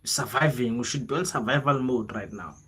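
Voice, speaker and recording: A young man with a South African accent talks casually to his livestream audience in a mid-pitched voice. He rambles in a motivational way, leaning on slang and repetition. Now and then he switches into local languages. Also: a little fast, bright, forceful tone, breathy edge. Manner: informal, slangy, code-switches into local languages, repeats phrases. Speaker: livestreamer, content creator.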